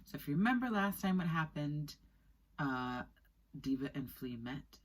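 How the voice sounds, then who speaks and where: A woman in her thirties reading a children's book aloud expressively, with deliberate storytelling pauses. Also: low voice, lively intonation, breathy edge. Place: small indoor room with skylight.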